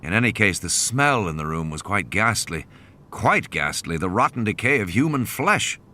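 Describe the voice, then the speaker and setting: A man's voice: fairly low pitch, very animated, moderate pace, pushed and bright, slight background noise. A man narrating a novel as a scripted dramatic audiobook. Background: music and sound effects.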